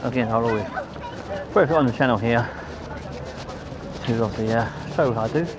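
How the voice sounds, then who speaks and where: A man with a London accent giving casual, rambling, low-key commentary as he films a walk outdoors. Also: a little fast, crowd noise, rough recording. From London, England. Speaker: amateur photographer, vlogger. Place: outdoors on a riverside walkway. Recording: outdoor city noise behind voice.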